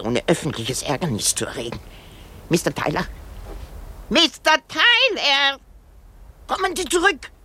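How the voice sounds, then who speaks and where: A woman's voice: a little high, vocal fry, bright, forceful tone, a little hiss or hum, heavily acted. An actress in a radio drama playing a weary, querulous old married woman, dramatic, self-pitying and given to exclamations. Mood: wistful, exasperated.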